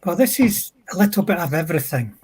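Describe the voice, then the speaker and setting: A man's voice: high voice, lively intonation, quick pace, darkish tone, slight background noise. A man on a livestream panel giving casual, explanatory commentary.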